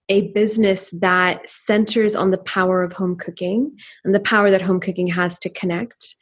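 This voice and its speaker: A woman's voice: medium pitch, breathy edge. A woman in her thirties from Pakistan, a company founder speaking earnestly and thoughtfully as an interview guest. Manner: earnest, thoughtful, with frequent ums. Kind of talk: interview conversation.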